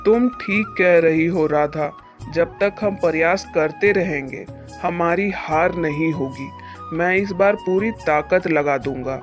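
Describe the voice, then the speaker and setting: Humble farmer, slow and earnest. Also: male voice, a little high. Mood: earnest, determined. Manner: pleading, earnest, respectful. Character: humble, earnest poor male farmer, determined. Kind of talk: moral-story cartoon dubbing. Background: background music.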